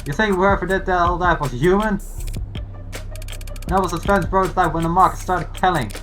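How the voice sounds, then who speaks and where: An actor in a video game playing an agitated, shouting conspiracy-minded protester, loud and insistent. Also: medium pitch, normal speed, natural voice. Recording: game audio with loud music underneath. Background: game music.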